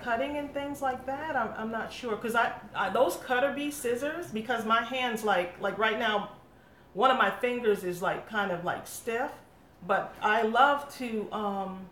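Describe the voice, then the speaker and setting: A woman's voice: a little high, fairly bright tone. Chatty woman, craft channel host, casual live chat, frequent laughs, echoey room, faint hum behind. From England. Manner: chatty, laughs often, restarts with fillers.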